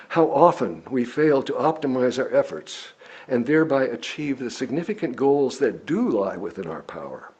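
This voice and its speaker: A man reads a written sermon aloud in a measured, deliberate, oratorical way, with a husky voice. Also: mid-range voice, a little mellow. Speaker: lay preacher reading a written sermon. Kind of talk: sermon read from a script. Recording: dull, lo-fi recording.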